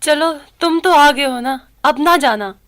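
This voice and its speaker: Ailing, slow, high-voiced mother. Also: a bit bright. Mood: resigned. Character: ailing, stoical Kashmiri mother, anxious for her children. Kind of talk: radio drama acting.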